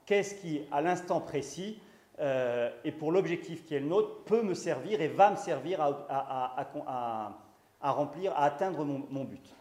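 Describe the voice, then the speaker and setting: A man's voice: a little high, animated, unhurried, a little mellow. A man giving a conference talk, hesitant with frequent restarts and fillers, pushing hard on key words. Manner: emphatic, rising on key words. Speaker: lecturer. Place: conference hall. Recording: echoey room.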